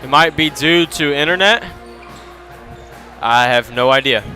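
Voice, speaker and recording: A man with a Midwestern American accent calling a high school basketball webcast, chatting casually and thanking sponsors before tipoff. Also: a little slow, sharp tone, faint music behind. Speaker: sports webcast announcer. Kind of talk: casual pregame commentary.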